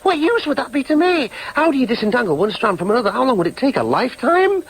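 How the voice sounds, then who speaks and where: An actor playing an earnest, needy grown son in a radio drama, questioning himself emphatically. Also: male voice, very high-pitched, big pitch swings, quick pace, slightly rough, full character voice. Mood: confused, searching. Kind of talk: radio drama with inner monologue.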